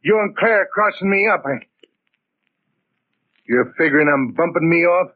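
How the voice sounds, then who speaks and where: An actor playing a big, dim-witted, sheepish crook with American gangster slang, in 1940s radio crime style. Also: male voice, a little high, lively intonation, measured pace. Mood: alarmed, betrayed. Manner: slangy, ungrammatical gangster talk. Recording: muffled, tinny 1940s radio recording.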